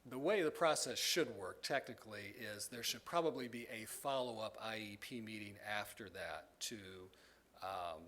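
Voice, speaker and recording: A man presenting at a conference and answering audience questions in a measured, explanatory way, with frequent ums and restarts. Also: lowish voice, fairly bright tone. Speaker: presenter from a state education agency.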